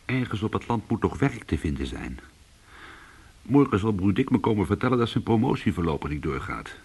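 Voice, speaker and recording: An actor playing an anxious, aggrieved ex-convict trying to go straight in a Dutch radio play, with a husky voice and a delivery between subdued and agitated. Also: male voice, lowish voice, big pitch swings, a little fast, a little mellow, full character voice. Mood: weary, tense. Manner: swings from subdued to agitated protest. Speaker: lead character and narrator.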